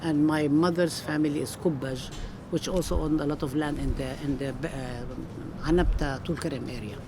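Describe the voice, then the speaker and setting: A woman's voice: deep voice, fairly even intonation, normal speed. Older woman academic from Palestine, earnest and emphatic, interviewed outside with traffic noise behind. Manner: earnest, emphatic, with frequent restarts. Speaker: professor being interviewed. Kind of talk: conversational interview. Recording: outdoor recording with street noise.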